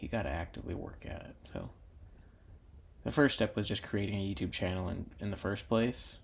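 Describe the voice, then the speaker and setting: A man's voice: sits low, vocal fry, a little mellow. A young man talking casually while drawing for his own video channel, meandering with many fillers and restarts. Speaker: drawing YouTuber.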